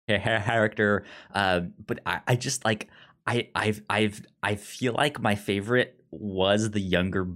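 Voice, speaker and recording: A man co-hosting a podcast and casually discussing a film in a hesitant, halting way, often repeating himself. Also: fairly low pitch, fairly bright tone. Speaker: podcast co-host.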